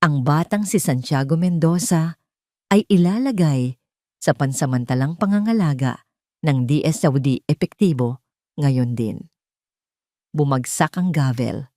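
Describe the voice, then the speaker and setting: A woman with a Filipino accent reading a scripted dramatic story in a measured, solemn manner. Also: sits low, a little mellow, no echo, studio quality. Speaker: story narrator.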